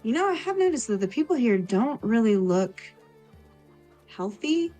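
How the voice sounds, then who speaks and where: A woman's voice: on the high side, big pitch swings, normal speed, warm tone, soft background music, pitched above the performer's own voice. A woman playing a caring, thoughtful village woman in a tabletop fantasy game, speaking hesitantly. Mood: thoughtful, uneasy.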